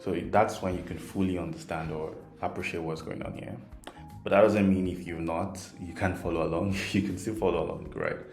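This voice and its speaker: Deep-voiced Nigerian man teaching, roomy echo. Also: fairly even intonation, a little fast, a little mellow. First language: Yoruba. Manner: patient, simplifying, often checks in with "right?". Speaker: programming instructor. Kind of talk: informal explanatory tutorial. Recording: roomy echo, faint music underneath.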